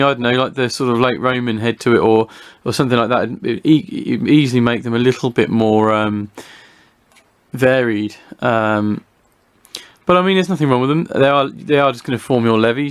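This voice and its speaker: British male hobby reviewer, mid-pitched, informal and unscripted, hesitant, thinking aloud as he demonstrates. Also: clean tone. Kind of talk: casual unscripted product review, talking through a demonstration.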